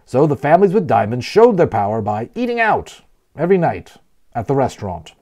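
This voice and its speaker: A man reading a children's novel aloud as a storyteller, expressively and with deliberate emphasis on key words. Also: medium pitch, big pitch swings, darkish tone. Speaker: audiobook narrator and storyteller.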